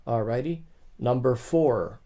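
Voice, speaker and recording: A young man hosts a casual livestream, reading legal rules aloud and breaking off to chat with viewers. His voice is mellow and dark at a middle pitch. He reads in quick bursts with small pauses between passages. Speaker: livestream host.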